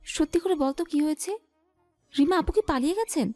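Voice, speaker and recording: A woman's voice: high-pitched, brisk, warm tone, dry recording, lightly acted. A woman playing a cheeky, whiny young best friend in an audio story, given to playful, exaggerated complaining. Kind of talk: Bengali audio story acting. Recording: music behind the voice. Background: music.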